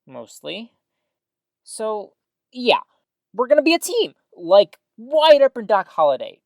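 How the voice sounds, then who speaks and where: A woman's voice: fairly high pitch, very animated, slow pace, a little mellow, dry recording, clean studio sound, full character voice. A woman playing a chatty, upbeat small-town radio host in an audio drama, with folksy exclamations and theatrical news patter.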